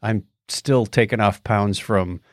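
A man's voice: fairly low pitch, takes it slowly, dry recording, studio quality. An American man co-hosting a podcast in a lighthearted, jokey way, moving between scripted intro and casual banter. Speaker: podcast co-host. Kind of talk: scripted show opening and casual chat.

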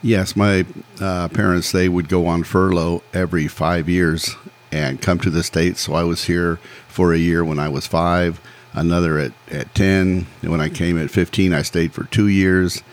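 A man in his sixties, from Peru, recounting his life in a measured, plainspoken way as a podcast guest. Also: low-pitched, little pitch movement. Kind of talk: casual interview storytelling.

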